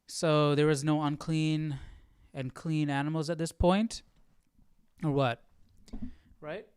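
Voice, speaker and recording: A man's voice: a little high, fairly even intonation, takes it slowly, a little hiss or hum. A man casually hosting a live Bible study, reading and musing aloud with informal, questioning commentary. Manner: informal, questioning, thinking out loud.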